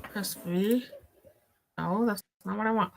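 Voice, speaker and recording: A woman co-hosting a casual livestream over a remote link, easygoing, reading out chat names slowly. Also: medium pitch, gravelly, a little hiss or hum. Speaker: co-host on stream.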